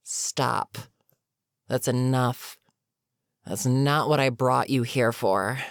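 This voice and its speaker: A woman playing an older, weary supervillain in an audio roleplay, menacing yet protectively concerned, with a measured, sardonic, sighing delivery. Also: very deep voice, vocal fry, dry recording, studio quality, heavily acted. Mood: smug, menacing. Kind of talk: dramatic audio roleplay monologue.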